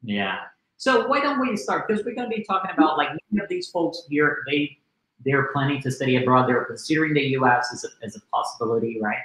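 A man's voice: a little high, big pitch swings, fast, fairly bright tone. A young man from Brazil hosts a live webinar over a video call. He talks casually and enthusiastically, with lots of fillers. The sound has a roomy echo. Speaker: webinar host. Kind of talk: casual live-stream hosting.

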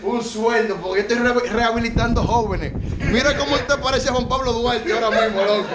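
A man's voice: very high-pitched, fairly quick, background noise. A young man with a Dominican Spanish accent, joking and bragging as he plays barber in a vlog, teasing the others in a crowded barbershop. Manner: brash, boastful, teasing, repeats catchphrases. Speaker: vlog host playing barber. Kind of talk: joking banter while cutting hair. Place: small busy barbershop indoors. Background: chatter of several men.

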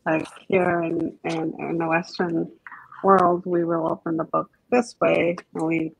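A middle-aged woman from Egypt with a light Egyptian Arabic accent speaks in a low, husky, gravelly voice. She talks haltingly on a video call, and the sound is dry and dull. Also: flat delivery, dry recording. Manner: hesitant, restarts phrases. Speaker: guest. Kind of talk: casual video-call interview. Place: home office. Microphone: webcam on a video call.

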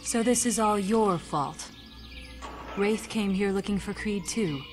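A woman's voice: mid-range voice, takes it slowly, natural voice. A woman playing a guarded, businesslike secret agent in a video game, cool and matter-of-fact. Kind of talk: video game cutscene acting. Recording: game music behind.

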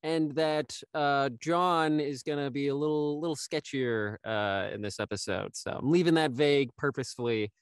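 A young man gives a casual, measured introduction on a panel. His voice is clear and smooth, a bit high for a man, and recorded dry. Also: no room sound. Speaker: voice actor, panel guest.

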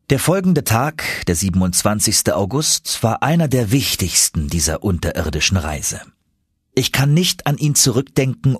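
A man's voice: medium pitch, husky, dry recording, studio quality. A man narrating an adventure audiobook in a dramatic, scripted storytelling style.

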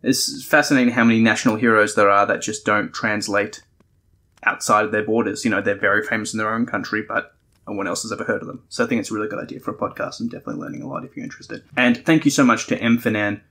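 A man with a slightly low voice presents a podcast review. He explains his points thoughtfully, moving between conversational talk and more scripted delivery. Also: steady tone, fast talker, pushed and bright. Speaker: podcast host.

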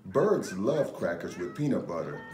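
Low-voiced man reading a storybook, roomy sound. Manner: measured, expressive storytelling. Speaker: storytime reader, host. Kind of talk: scripted children's picture book read-aloud. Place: indoor room. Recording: roomy echo. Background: music.

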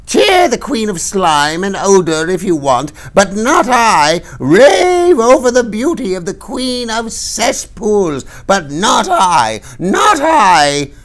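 A man's voice: sing-song, slow pace, hardly any pauses, full character voice. Man doing a shrieking ancient crone. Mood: furious. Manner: shrill shouting tirade. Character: ancient bent old woman, furious accuser.

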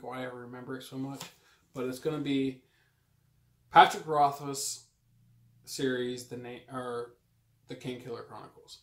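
A young adult man talks casually about books. His pitch is average for a man. The sound is echoey, recorded at camera distance in a room at home. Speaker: book vlogger co-host. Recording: roomy echo from camera distance.

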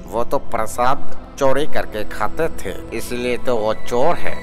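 A man's voice: mid-range voice, slow pace, music in the background, natural voice. A man voicing a foolish, accusing royal courtier in a children's cartoon.

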